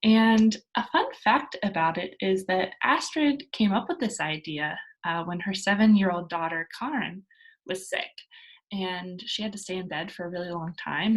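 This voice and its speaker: A woman teacher reading a children's book aloud and explaining it to young pupils in an expressive storytelling manner. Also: lowish voice, rough recording.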